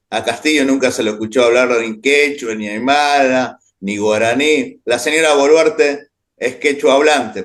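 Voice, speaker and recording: A man hosting a political interview on his own channel, asking direct questions. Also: mid-range voice. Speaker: interviewer, YouTube channel host.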